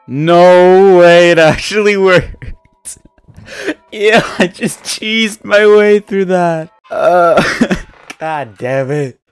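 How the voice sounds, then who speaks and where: A man's voice: high voice, drawn-out words, clear, resonant tone, no echo. A young man giving casual, offhand live gaming commentary, muttering to himself and swearing. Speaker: game streamer.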